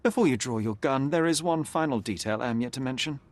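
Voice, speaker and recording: A man voicing a confident young male detective in a video game, calm and precise. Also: mid-range voice, fast talker, natural voice. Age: young adult. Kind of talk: video game cutscene dialogue.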